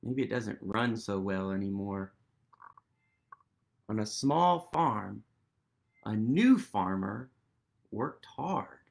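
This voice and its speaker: A man in his thirties reading a picture book aloud to young children, gently and expressively, with pauses and questions. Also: lowish voice, lively intonation, creaky. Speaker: storytime reader.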